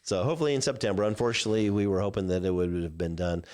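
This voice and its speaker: A man in his fifties, a Midwestern American mayor answering live-chat questions, pausing often with "uh" and "um" as he thinks. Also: lowish voice, expressive, darkish tone. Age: 50s. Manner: halting, many "uh" and "um" fillers. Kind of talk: live call-in Q&A.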